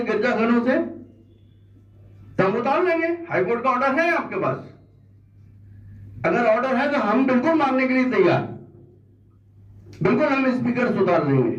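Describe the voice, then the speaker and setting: A man with a high-pitched voice speaks as if addressing officials, pressing his case with repeated points and pointed questions. The sound is echoey and muffled, picked up at a distance from the microphone. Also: slight background noise. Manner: insistent, argumentative, with rhetorical questions and repetition. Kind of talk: forceful public statement of grievance.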